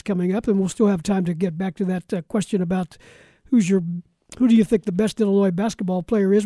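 A man's voice: high-pitched, dark tone, no room sound. An American man hosting live sports talk radio, moving between callers, guests and breaks on a close studio mic. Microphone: close broadcast mic.

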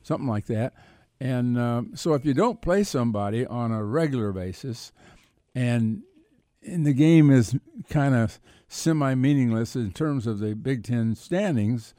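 A man's voice: medium pitch, lively intonation, measured pace, mellow, dry recording, studio quality. An older man with an American accent talking sports casually, in a rambling, reflective way. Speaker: sports columnist and radio co-host.

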